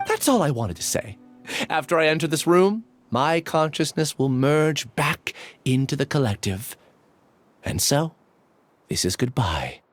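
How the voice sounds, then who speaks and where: A man playing an earnest, melancholy, resigned young researcher in a video game, speaking in a measured, solemn way. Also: a little high, big pitch swings, dry recording. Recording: music behind the voice.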